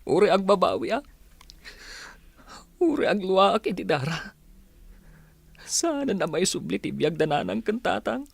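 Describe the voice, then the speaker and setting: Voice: mid-range voice, sing-song, a little slow, creaky, pauses often, husky, no room sound, exaggerated voice. A performer with a Filipino Ilocano accent playing a remorseful, newly orphaned younger brother in a radio drama, plaintive and pleading. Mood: dejected.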